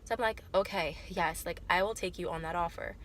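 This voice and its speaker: A woman's voice: lowish voice, a little fast, a bit bright, a little hiss or hum. A young woman with a cold, sounding congested and nasal, chatting casually to camera in a rambling way full of fillers and self-corrections. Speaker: vlogger.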